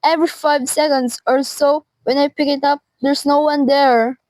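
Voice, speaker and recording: A very high, dry-recorded voice of a frantic, demanding wife played by a young woman student. She harangues indignantly and repeats herself in nervous agitation, in the manner of an amateur radio play. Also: fairly even intonation, moderate pace, a little mellow, no room sound, lightly acted, rough recording. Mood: indignant, exasperated. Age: teenager. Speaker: student performer. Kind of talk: amateur radio drama acting.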